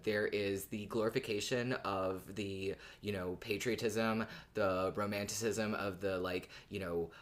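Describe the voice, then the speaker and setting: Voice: sits low, steady tone, unhurried, hardly any pauses. A podcast co-host chatting casually about a story, explaining things in an earnest, informal way. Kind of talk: casual conversational podcast commentary.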